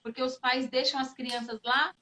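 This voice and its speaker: High-voiced Brazilian woman co-hosting. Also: little pitch movement, normal speed, pushed and bright. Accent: Brazilian Portuguese. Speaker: live stream co-host. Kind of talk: casual chat.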